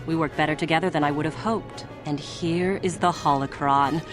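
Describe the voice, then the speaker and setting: An actress playing a haughty, treacherous young Sith student in a video game, with a curt, condescending manner. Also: female voice, sits low, steady tone, normal speed, over music, close to an everyday voice. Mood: smug, impatient. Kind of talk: video game villain acting.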